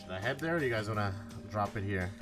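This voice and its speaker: A man's voice: lowish voice, animated, fast. A man streaming a game, reading its narration aloud and chatting casually between lines. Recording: music behind the voice.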